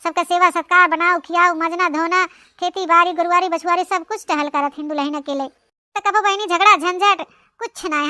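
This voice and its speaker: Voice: extremely high pitch, pushed and bright, no echo, clean studio sound, exaggerated voice. An actor voicing a doting, talkative old village mother-in-law in an Awadhi cartoon comedy, rambling fondly and effusively. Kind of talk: cartoon comedy monologue in rural dialect.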